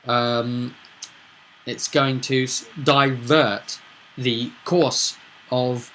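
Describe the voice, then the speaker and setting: A man's voice: medium pitch, flat delivery, drawn-out words, fairly bright tone, a little hiss or hum. A man talking casually as a game master, rambling through an explanation with emphatic points and rhetorical questions. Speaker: game master recapping a tabletop roleplay session. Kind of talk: casual explanatory vlog monologue.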